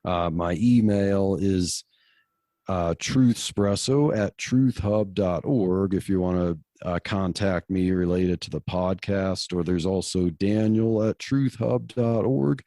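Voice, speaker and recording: A man's voice: low-pitched, slow pace, mellow, no room sound, studio quality. A man appearing as a podcast guest, easygoing and talking casually, often saying "you know" and laughing. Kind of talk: casual interview talk.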